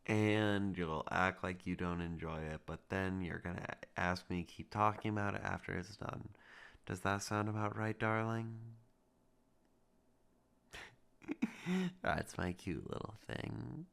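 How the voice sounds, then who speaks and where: Slow, gravelly soft-spoken man. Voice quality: low gravelly voice with heavy vocal fry. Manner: soft, intimate and teasing, with possessive undertones. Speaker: ASMR roleplay creator. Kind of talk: romantic ASMR roleplay addressing the listener.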